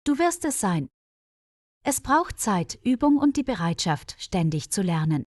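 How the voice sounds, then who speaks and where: Woman voicing an earnest, calm male nurse. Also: medium pitch, a little slow, no echo, clean studio sound. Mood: modest, encouraging. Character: dedicated, modest, experienced male nurse.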